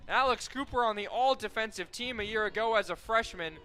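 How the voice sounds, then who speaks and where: Bright-voiced radio play-by-play man. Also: sits high, fairly quick. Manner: steady, fact-filled call that rises sharply on big plays. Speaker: play-by-play announcer.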